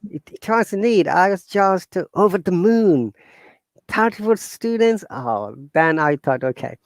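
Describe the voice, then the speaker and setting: Bangladeshi-accented man, earnest and halting. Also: high voice, no room sound. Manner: earnest, animated, halting with fillers and restarts. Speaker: guest teacher. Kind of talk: casual interview talk.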